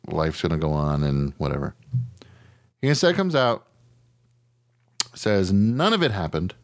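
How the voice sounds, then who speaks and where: A middle-aged American man with a fairly low voice chats on a podcast. He tells stories in a blunt, profane, informal way, slipping into mimicked quotes. The sound is dry, with little room around him. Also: big pitch swings, measured pace, no echo. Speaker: podcast co-host. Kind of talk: casual chat.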